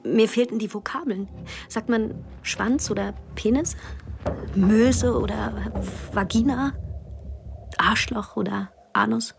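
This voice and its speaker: Troubled woman, confiding and halting. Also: on the high side, vocal fry. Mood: shaken, confiding. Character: troubled, abused woman confiding her story. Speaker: narrator and actor. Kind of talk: first-person radio drama narration.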